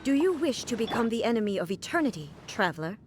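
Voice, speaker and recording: A woman's voice: on the high side, big pitch swings, noisy background. A woman playing a stern, aloof ruler in a video game, speaking in a formal, solemn, detached way. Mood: cold, commanding. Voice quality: measured, solemn. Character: stern, aloof female ruler devoted to eternity. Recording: music behind the voice.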